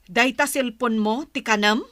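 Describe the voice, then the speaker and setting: A tired, patient village mother with an Ilocano accent. Her voice sits high and is recorded dry, and she admonishes and pleads as in a radio comedy-drama. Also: a little slow, fairly bright tone, no echo. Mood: scolding. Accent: Ilocano, northern Philippines. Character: weary, patient village mother of quarrelling children.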